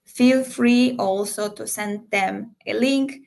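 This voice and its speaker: A woman recruiter hosting a live question-and-answer session, explaining job details in an unhurried way with frequent fillers and slightly non-native phrasing. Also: mid-range voice, creaky, darkish tone.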